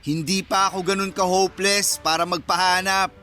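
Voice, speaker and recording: A man's voice: high-pitched, flat delivery, moderate pace, pushed and bright, faint music behind. An actor playing a cheeky young Filipino man, a sarcastic best friend who teases and sulks in a radio romance drama. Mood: mock-offended. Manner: sarcastic, teasing banter.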